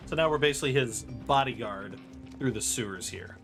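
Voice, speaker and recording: A man livestreaming a game, talking casually at a middle pitch with game music running behind him. He is playful and loose, happy to slide into silly rhythmic chanting between bits of commentary. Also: brisk. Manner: playful, breaks into goofy rap-style chanting and sound syllables. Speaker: video game livestreamer narrating a speedrun. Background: game music and sound effects.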